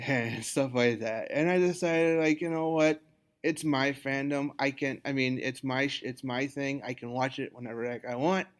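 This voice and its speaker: A man with an American accent hosting a podcast, chatting casually in a halting, conversational way. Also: medium pitch, steady tone. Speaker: podcast host.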